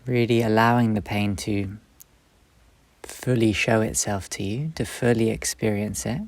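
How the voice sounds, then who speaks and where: A man leading a guided meditation, speaking slowly and gently in short, soft phrases with long pauses. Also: fairly low pitch, fairly even intonation, no room sound. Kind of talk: guided meditation, instructional and soothing.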